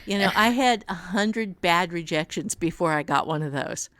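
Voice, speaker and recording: A woman's voice: on the low side, moderate pace, fairly bright tone, no echo, studio quality. An older woman co-hosting a casual chat, speaking in a blunt, encouraging way. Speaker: author, teacher and podcast co-host.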